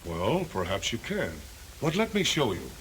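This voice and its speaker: A self-assured salesman from a 1930s training film. His mid-pitched male voice is bright and lively, full of emphatic slang. Faint noise runs under the old soundtrack. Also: moderate pace, low-quality recording. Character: brisk, self-assured refrigerator salesman swapping sales tricks.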